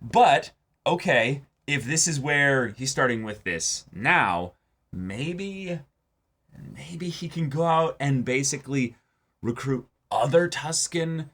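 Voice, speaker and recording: Guy with an average pitched voice doing fan chat, excited rambling, kinda stammery, casual. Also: slow pace. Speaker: co-host of a TV show reaction channel.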